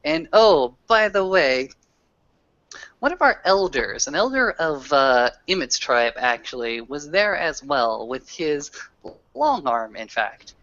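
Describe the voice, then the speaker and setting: A man's voice: fairly high pitch, very animated, slow pace, fairly bright tone. A man telling an in-character roleplay story in a theatrical, mock-formal way peppered with swearing. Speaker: tabletop roleplay player. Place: online group voice call. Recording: dull lo-fi voice call.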